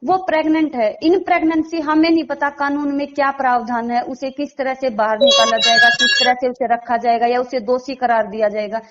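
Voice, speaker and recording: A woman's voice: high-pitched, steady tone, brisk, hardly any pauses, fairly bright tone. A woman speaks. She is emphatic and insistent, pressing her points as an interviewee making an appeal. The recording is dull and lo-fi. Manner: emphatic, insistent, piling up rhetorical questions. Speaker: interviewee commenting on a local case. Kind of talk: spoken interview answers, appeal to authorities.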